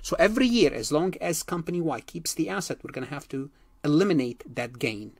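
Male accounting lecturer, slightly high voice, emphatic explainer who repeats key points, dry close recording. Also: animated, fairly quick, breathy edge, no echo. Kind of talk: exam-prep lecture explaining worked examples.

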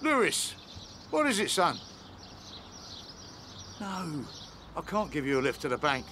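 A man's voice: fairly high pitch, sing-song, unhurried, pushed and bright, slight background noise, heavily acted. An actor playing an irritable, busy father in a radio soap, brusque and muttering. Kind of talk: radio soap opera acting.

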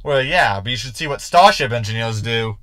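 A man's voice: medium pitch, lively intonation, brisk, sharp tone, no echo. Man acting a fussy, sarcastic hologram, dry close sound. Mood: pedantic griping. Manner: sarcastic, complaining. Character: fussy, sarcastic, anxious male ship's hologram. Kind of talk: sci-fi sitcom audio drama acting.

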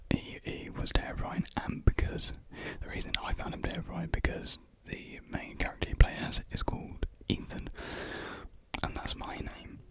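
A young British man whispering a casual, hesitant ASMR ramble in a breathy voice, very close to a desktop microphone. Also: normal speed, low-quality recording. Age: young adult. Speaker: ASMR video creator. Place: small indoor room at home. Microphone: large USB desktop mic, very close.

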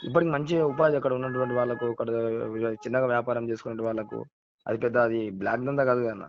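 Fast-talking Telangana man on a phone call. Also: mid-range voice, fairly even intonation. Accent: Telangana Telugu. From Telangana, India. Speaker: caller, local voter. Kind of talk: casual phone chat. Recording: dull, band-limited phone call audio.

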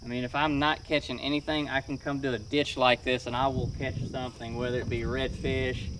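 A man's voice: medium pitch, a little fast. A man, a fishing vlogger giving casual, plain-spoken how-to talk outdoors in a marsh. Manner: relaxed, plain-spoken instructional. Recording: distant mic, outdoor noise.

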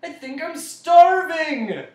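A man voicing an anguished, greedy bug in a storybook, loud and melodramatic. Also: very high-pitched, animated, slow, voice pushed up, full character voice. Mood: desperate. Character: anguished, greedy, starving male humbug bug. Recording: echoey room.

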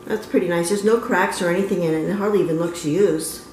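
A woman's voice: on the low side, fast, background noise. A woman in her fifties shows her antique booth items, chatting casually with frequent ums and self-corrections. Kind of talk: casual show-and-tell vlog. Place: living room, then car and antique mall.

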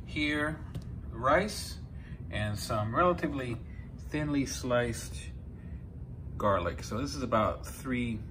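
A man's voice: medium pitch, animated, slow pace, pauses often. Man from Peru explaining a recipe. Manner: explanatory, conversational, with frequent fillers and self-corrections. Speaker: home cooking channel host. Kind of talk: step-by-step cooking demonstration. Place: home kitchen. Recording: noisy home kitchen recording.

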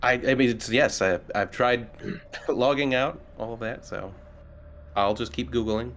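A man's voice: medium pitch, measured pace, fairly bright tone. A man chatting casually as a player in an online tabletop game. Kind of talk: casual chat. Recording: faint background noise.

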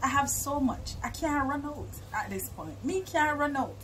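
High-voiced young Jamaican woman, casual and animated. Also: moderate pace, slight background noise, low-quality recording. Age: young adult. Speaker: musician being interviewed. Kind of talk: casual interview chat. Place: outdoors on a porch by a garden.

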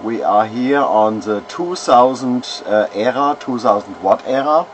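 A man, an amateur radio operator showing his homebrew gear at home, pausing often and searching for words. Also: mid-range voice, animated, slight background noise. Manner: halting, many fillers, searching for words. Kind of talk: casual equipment demo. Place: home radio shack, indoors. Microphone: handheld camera.

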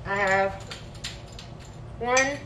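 A young woman talks through fan questions on a phone livestream from her kitchen, relaxed and chatty. Her voice sits at an ordinary middle pitch. The phone picks her up from a little distance, with a constant hum of noise behind her. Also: drawn-out words. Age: young adult. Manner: relaxed, chatty, thoughtful, with many "um"s. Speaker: singer hosting a livestream. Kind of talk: casual livestream chat, reading fan questions. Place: home kitchen, indoors. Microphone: phone held close, front camera.

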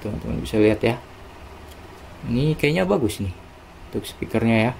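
A man's voice: mid-range voice, lively intonation, brisk, gravelly, a little mellow, a little hiss or hum. A man giving casual, unscripted unboxing commentary in an informal, hesitant, thinking-aloud way. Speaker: unboxing video presenter. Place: indoors, small room at a desk.

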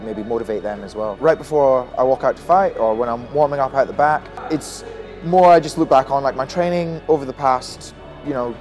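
A man's voice: medium pitch, a little mellow, poor audio. A young man from Scotland who grew up in Australia, a Muay Thai fighter and coach, talking casually in a gym interview with many fillers. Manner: quick, chatty, many fillers and restarts. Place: boxing gym, indoors. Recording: music bed under voice.

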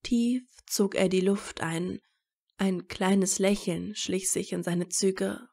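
A woman narrating scripted fan fiction as a story, in a measured storytelling manner. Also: medium pitch, steady tone, vocal fry, a little mellow.

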